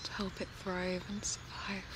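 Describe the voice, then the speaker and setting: A woman's voice: mid-range voice, completely flat delivery, natural voice. A woman in her twenties playing a serene young cult member in a whispered, soothing ASMR roleplay, close to a binaural mic. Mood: serene, reverent. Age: 20s. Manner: hushed, slow, soothing, often whispering. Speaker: ASMR performer. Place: outdoors among trees. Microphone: close binaural microphone. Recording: outdoor nature noise, birdsong.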